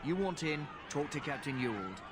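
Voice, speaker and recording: A man's voice: on the high side, very animated, moderate pace, noisy background. A man playing a surly, scornful city gate guard in a fantasy game. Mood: scornful, weary. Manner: scornful, weary, dismissive. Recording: loud outdoor game ambience.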